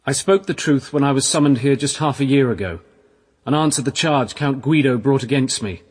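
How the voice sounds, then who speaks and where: An actor playing an impassioned young priest in a period radio drama, intense and declamatory, pausing for emphasis. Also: male voice, mid-range voice, husky, close to an everyday voice. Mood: defiant, bitter. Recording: long reverb of a hall.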